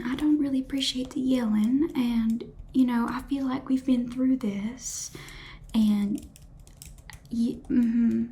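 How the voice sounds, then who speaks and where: A woman voicing a sassy, chatty Southern saleswoman in a whispered ASMR roleplay, with soft keyboard typing behind her. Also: a little high. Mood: curt.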